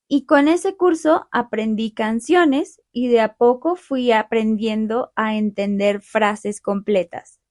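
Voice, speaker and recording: Young Colombian woman host, bright-ish voice, dry close mic, calm clear delivery. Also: on the high side, no room sound. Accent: Colombian, Bogotá. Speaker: podcast host. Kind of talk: friendly podcast chat for Spanish learners.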